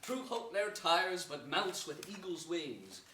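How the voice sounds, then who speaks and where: An actor playing a noble, hopeful young rebel earl, declaiming earnestly in a Shakespearean stage reading. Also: male voice, fairly high pitch, big pitch swings, slow pace, sharp tone, a little hiss or hum, full character voice. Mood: rousing. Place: theater stage before an audience, indoors. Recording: echoey theater, distant microphone.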